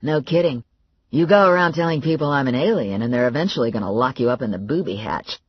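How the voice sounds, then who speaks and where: Woman reading a laid-back teasing guy, low husky voice, lively, dry muffled recording. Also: quick pace, exaggerated voice. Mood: wry. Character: grown man, calm and teasing.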